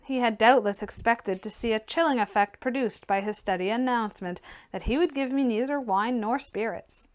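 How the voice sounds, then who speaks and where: A woman's voice: a little high, normal speed, hardly any pauses. A woman reading literary fiction aloud as scripted audiobook narration. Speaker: audiobook reader.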